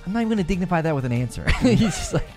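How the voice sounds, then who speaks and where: A man's voice: high voice, very animated, very fast, darkish tone, faint music behind. Chatty man hosting a stream. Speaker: streamer and show host. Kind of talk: casual livestream chat.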